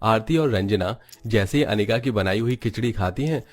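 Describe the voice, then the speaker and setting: A man's voice: fairly low pitch, normal speed, a little mellow. A man narrating a Hindi cartoon story in a scripted storytelling style.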